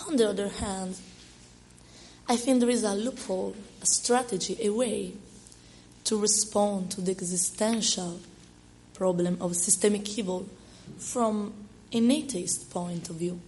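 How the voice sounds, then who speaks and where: Hoarse Italian-accented woman, slow and halting. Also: mid-range voice, fairly even intonation, darkish tone, slight background noise, rough recording. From Italy. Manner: halting, many fillers. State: hoarse, has a cold. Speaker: respondent at an academic talk. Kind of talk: academic response, read with hesitations.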